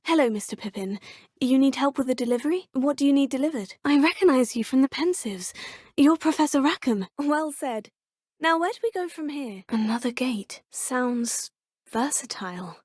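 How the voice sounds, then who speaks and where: A woman's voice: fairly high pitch, darkish tone, no room sound, clean studio sound, close to an everyday voice. A woman voicing a curious schoolgirl witch. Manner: polite, inquisitive and earnest, often murmuring to herself. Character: curious teenage girl wizarding student. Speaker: player character. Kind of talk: video game voice lines. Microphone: close studio mic.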